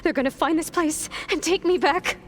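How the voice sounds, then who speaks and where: A woman acting a fragile, bookish young cloned woman in a video game, with halting, clinical, precise wording. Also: extremely high pitch, faint music behind. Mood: panicked, despairing.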